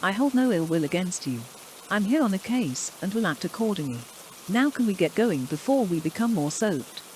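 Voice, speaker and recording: A woman's voice: mid-range voice, sing-song, moderate pace, darkish tone, lightly acted, low-quality recording. A woman acts a composed, businesslike doctor in a horror drama. Her intonation moves a lot, and rain noise sits behind the voice. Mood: composed, cool.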